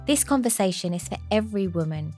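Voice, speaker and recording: A woman hosts an interview, her voice gravelly with creak at mid pitch. She speaks effusively and leans hard on key words. Soft music plays behind her. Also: lively intonation, fast. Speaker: podcast host. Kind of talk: interview podcast with scripted intro.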